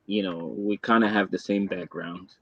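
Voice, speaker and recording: A man from Egypt with a fairly low voice speaks bluntly and matter-of-factly in a casual interview. The sound is dull and lo-fi, with a dry, close feel and no room echo. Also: steady tone, normal speed, fairly bright tone. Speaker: guest reseller.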